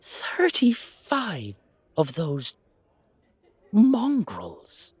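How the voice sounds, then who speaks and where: A pompous vampire gentleman, acted by a man, speaks slowly in formal, fussy phrases. His husky voice carries disdain and a protective streak, as in an audio roleplay drama. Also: high voice, wildly swooping pitch, no room sound, voice pushed up, heavily acted. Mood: incredulous.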